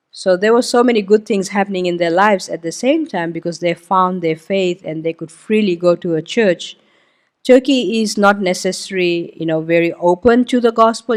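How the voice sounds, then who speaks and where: A woman whose first language is Hindi, speaking as a missionary guest speaker at a church service. She tells her story informally and talks through a map with frequent "um"s and "uh"s. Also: on the low side. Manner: informal, explains while pointing at a map, frequent "um" and "uh". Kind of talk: conversational testimony talk.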